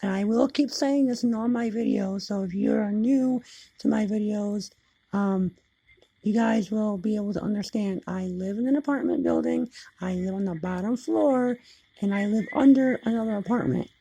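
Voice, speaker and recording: A woman hosting a hobby video, explaining things casually in a husky, gravelly voice with an apologetic manner. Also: medium pitch, moderate pace, mellow, dry recording, rough recording. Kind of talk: casual demonstration narration.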